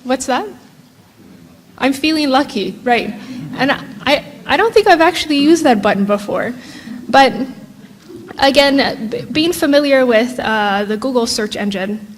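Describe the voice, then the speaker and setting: A woman's voice: sits high, animated, a little hiss or hum. Woman lecturing in a reverberant hall. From Hawaii. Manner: measured, explanatory, asks the audience questions. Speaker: keynote speaker, academic. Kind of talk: conference keynote presenting slides.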